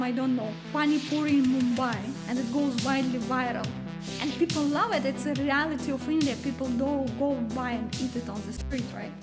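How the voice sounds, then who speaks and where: A woman in her thirties with a Russian accent talks as a guest in an interview, earnest and emphatic, now and then laughing lightly. Her voice sits a little high for a woman. Faint music plays behind her. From Russia (Siberia). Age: 30s. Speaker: podcast guest, marketing entrepreneur. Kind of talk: conversational interview.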